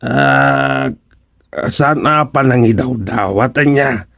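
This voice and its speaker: A man's voice: medium pitch, extremely slow, gravelly, fairly bright tone, no room sound, studio quality, heavily acted. An actor with an Ilocano Filipino accent playing a shifty, bumbling village councilman who hems and stammers, in a radio comedy drama. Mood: sly, hesitant. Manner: hesitant, stammering, full of ums.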